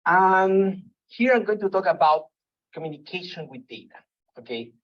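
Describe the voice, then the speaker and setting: A man from Peru with a Peruvian Spanish accent giving a presentation, pausing often and restarting his sentences. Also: high-pitched, animated. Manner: halting, with many fillers and restarts. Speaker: guest speaker. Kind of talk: presentation talk. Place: meeting room. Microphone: hall microphone. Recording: dull lo-fi room recording.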